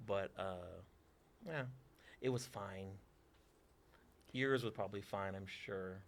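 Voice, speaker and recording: A man's voice: deep voice, takes it slowly. A man in his forties from Texas, a theater teacher answering interview questions with dry, teasing humor.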